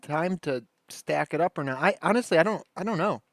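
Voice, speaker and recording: High-voiced man chatting on livestream. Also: expressive, fairly quick, dry recording. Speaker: livestream host. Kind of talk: casual live commentary over a radio drama.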